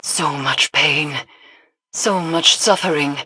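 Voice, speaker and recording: An actress playing a solemn, brooding woman mystic in a video game, speaking gravely and formally with deliberate weight. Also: deep voice, noisy background. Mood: sorrowful, ominous. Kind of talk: scripted video game character dialogue.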